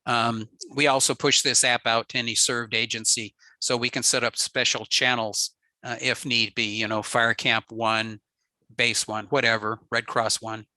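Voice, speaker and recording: Guy doing a laid-back tech talk, lots of ums, really bright sharp tone, dry close mic. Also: medium pitch, moderate pace, dry recording. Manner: relaxed, explanatory, frequent ums and uhs. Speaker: ham radio presenter. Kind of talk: technical slide presentation.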